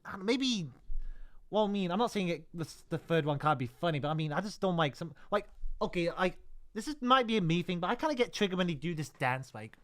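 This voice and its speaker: A man's voice: high voice, animated, fast, a bit bright. A man co-hosting a casual chat, talking in a rambling, stop-start way. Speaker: podcast co-host. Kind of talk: casual chat about video game films. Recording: music behind the voice.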